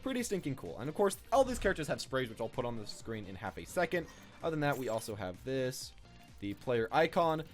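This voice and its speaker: A man's voice: mid-range voice, big pitch swings, a little fast, faint music behind. A young man giving casual, rambling game commentary as a video creator. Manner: rambling, informal.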